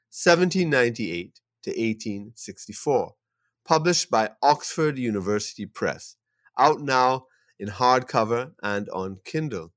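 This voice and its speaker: A man hosts an interview podcast, stopping and restarting his thoughts as he goes. His voice sits at a middling pitch. The recording is dry with no room echo. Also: a bit bright. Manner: hesitant, many fillers and restarts. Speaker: podcast host.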